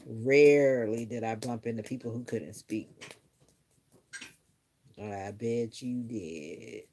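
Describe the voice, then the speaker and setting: A woman's voice: very deep voice, slow, mellow, slight background noise. A middle-aged woman chatting casually on a live stream, musing earnestly to her viewers in a reflective, rambling way. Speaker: livestreamer.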